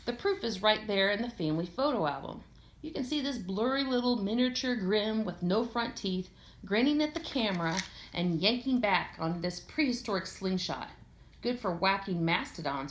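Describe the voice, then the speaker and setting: A woman reading a first-person story aloud in an expressive, conversational way with a sardonic, slangy delivery. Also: fairly low pitch. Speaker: audiobook reader. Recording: echoey room.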